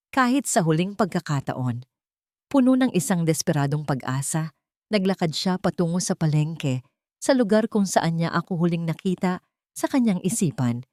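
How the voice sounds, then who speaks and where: Low-pitched woman narrating a drama. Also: a little mellow, no room sound, clean studio sound. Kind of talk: scripted audiobook narration, first person.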